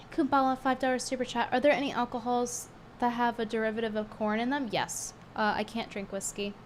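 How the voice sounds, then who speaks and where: A woman's voice: fairly high pitch, flat delivery, moderate pace, long pauses, slight background noise. Blunt woman streamer losing her voice. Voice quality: strained, hard to get sound out. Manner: blunt, scornful and chatty, reading tips aloud. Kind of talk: casual livestream chat.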